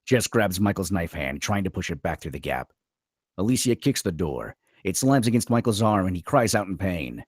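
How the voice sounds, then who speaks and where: A man's voice: sits low, sing-song, normal speed, darkish tone, slightly rough, no echo, clean studio sound. A man reading stage directions as dramatic scripted narration at a screenplay table read.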